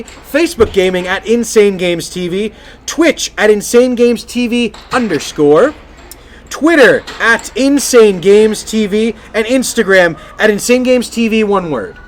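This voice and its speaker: High-voiced man, playful podcast host. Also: a little slow, a little hiss or hum. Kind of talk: casual live podcast chat with channel plugs.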